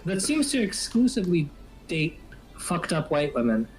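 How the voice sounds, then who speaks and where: A man in his twenties co-hosting a podcast in casual chat, ranting with animated profanity and repeating phrases for emphasis. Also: a little high, normal speed, faint music behind, rough recording. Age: 20s. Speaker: podcast co-host.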